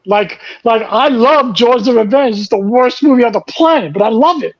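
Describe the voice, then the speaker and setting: A man with a strikingly high voice, swearing and joking his way through a casual movie chat. His sound is dull and lo-fi, and it is very dry with no room echo. He laughs readily and stays loose. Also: expressive, moderate pace. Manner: profane, jokey, laughs often. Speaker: podcast co-host.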